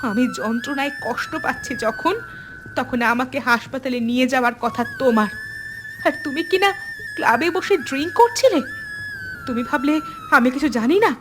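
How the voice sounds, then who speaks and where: A woman's voice: high-pitched, expressive, normal speed. A woman voicing a wronged, bitter young mother and daughter-in-law in a dramatic cartoon, accusing and indignant. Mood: angry, accusing. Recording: music behind the voice.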